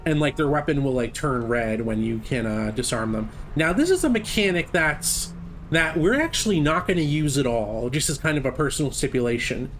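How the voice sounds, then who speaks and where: A man's voice: on the high side, animated, normal speed, slight background noise. A man giving casual, chatty live commentary on a game speedrun, explaining as he goes. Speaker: gameplay commentator.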